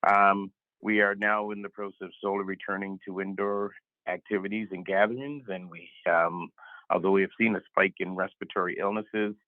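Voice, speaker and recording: A man with a voice raspy from a cold, giving halting welcome remarks as a health director. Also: low-pitched, fairly even intonation, no echo. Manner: halting, many fillers. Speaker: health director and board member. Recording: tinny phone line.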